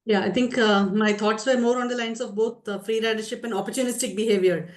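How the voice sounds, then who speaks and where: Audience member: woman, high voice, courteous and hesitant, echoey room. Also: fairly even intonation, fairly quick. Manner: polite, hesitant with fillers. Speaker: audience member asking a question. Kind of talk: academic Q&A comment. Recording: roomy echo.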